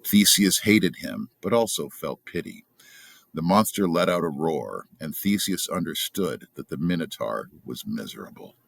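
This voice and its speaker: A man's voice: deep voice, expressive, moderate pace, vocal fry, fairly bright tone, husky, dry recording, studio quality. A man reading a story aloud as a narrator. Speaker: storybook reader.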